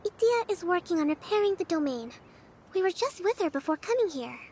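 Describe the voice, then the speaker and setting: A woman's voice: very high voice, very animated, a little mellow, a little hiss or hum. A woman voicing a polite, thoughtful young female adviser in a video game, speaking in courteous, formal phrases. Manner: courteous, measured, formal wording.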